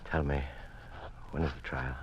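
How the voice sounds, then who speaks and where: Deep-voiced, weary condemned statesman. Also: fairly even intonation, slight background noise. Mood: wry bitterness. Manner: deliberate, hesitant, ironic. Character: imprisoned senator, broken-backed, wry, defiant, weary condemned man. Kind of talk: old-time radio drama acting. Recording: muffled old radio recording.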